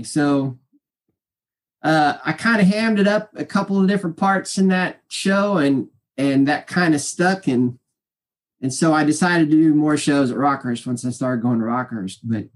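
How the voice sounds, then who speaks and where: A man in his forties with a high, light speaking voice tells long stories in a chatty, meandering way. He leans on fillers and restarts his sentences as he goes. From Kansas City area, US. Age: 40s. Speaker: guest. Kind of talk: casual interview storytelling.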